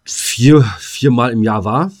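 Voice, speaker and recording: A man's voice: medium pitch, takes it slowly, no room sound. A man co-hosting a podcast in casual comedic banter, rambling, repeating his phrases and joking dryly. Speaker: podcast co-host.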